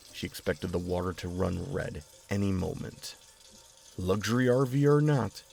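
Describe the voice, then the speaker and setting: A man narrating a story in a measured, dry storytelling manner. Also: lowish voice, gravelly. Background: music.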